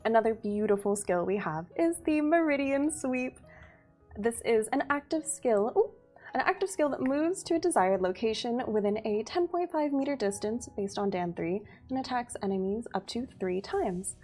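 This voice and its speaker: A woman's voice: on the high side, lively intonation, normal speed, warm tone, soft background music. A woman, a community manager, presenting game update details on a livestream in an upbeat, giggly manner. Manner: upbeat, giggly, reads skill details steadily.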